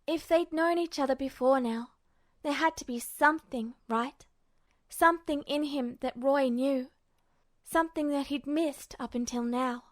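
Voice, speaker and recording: A woman's voice: sits high, a little slow, no echo. A woman narrating fan fiction as a scripted story reading.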